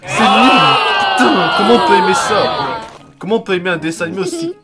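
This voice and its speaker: Mocking young Frenchman over loud game music. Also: high voice, big pitch swings, brisk, over a noisy crowd. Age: young adult. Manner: mocking, irritated, crude. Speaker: let's play host. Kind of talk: casual gameplay commentary. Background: video game music.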